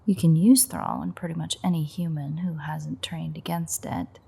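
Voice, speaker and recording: A woman playing a teasing, sardonic vampire in an intimate audio roleplay, with a wry, foul-mouthed manner and a breathy, husky voice. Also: low-pitched, fairly even intonation, normal speed, mellow, heavily acted. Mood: teasing, explaining.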